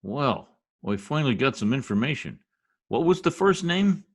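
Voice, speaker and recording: Casting: male actor as blustery, slangy husband for radio comedy, exasperated, very lively intonation. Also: medium pitch, moderate pace. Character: blustery, grumbling husband working as census taker. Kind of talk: old-time radio comedy acting.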